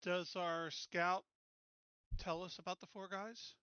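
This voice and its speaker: Male game player, higher forceful voice, casual banter, slightly muffled sound. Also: little pitch movement, takes it slowly, clear, resonant tone, dry recording.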